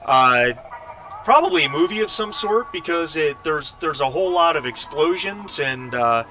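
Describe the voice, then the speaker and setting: A man running a tabletop role-playing game, improvising narration and casual table talk in a halting way with drawn-out pauses. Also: on the high side, animated, bright, forceful tone, slight background noise. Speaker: game master.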